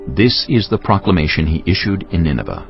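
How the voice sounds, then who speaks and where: A man's voice: deep voice, big pitch swings, fairly quick, creaky. A man narrating scripture as a scripted dramatic story. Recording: dull audio over music.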